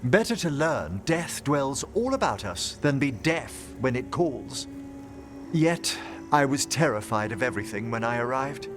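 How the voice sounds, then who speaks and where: An actor playing a learned, courtly necromancer mage in a video game, formal and musing, with precise theatrical diction. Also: male voice, mid-range voice, very animated, unhurried, music in the background. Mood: wistful.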